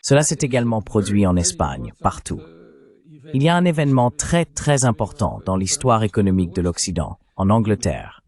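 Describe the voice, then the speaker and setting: A man's voice: lowish voice, darkish tone. A man delivering a translated voice-over of an interview, explaining things as a commentator.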